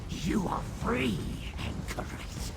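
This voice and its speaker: An actor playing a small, pompous and loyal talking rat in a fantasy game, speaking very dramatically. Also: male voice, slow, very noisy, natural voice. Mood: defiant. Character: Aarox as a cursed rat, tiny boastful loyal servant. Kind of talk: theatrical fantasy game acting. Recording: loud game music behind.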